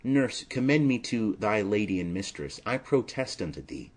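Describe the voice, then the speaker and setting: A man's voice: lowish voice, sing-song, normal speed, darkish tone. A man reading the part of an earnest, lovestruck young nobleman in a formal, poetic, insistent manner for a tragedy audiobook.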